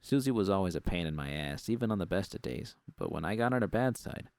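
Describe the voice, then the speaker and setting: A man's voice: sits low, animated, fast talker, vocal fry, darkish tone, no echo. A man reading a scripted first-person story as narrator.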